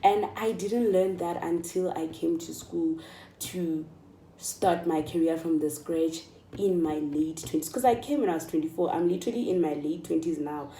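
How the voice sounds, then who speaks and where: South African woman, soft dark voice, echoey room. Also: fairly low pitch, moderate pace, a little hiss or hum. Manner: emphatic, repeats phrases for stress, addresses listeners directly. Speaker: vlogger. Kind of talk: casual motivational chat to viewers. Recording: echoey room, distant microphone.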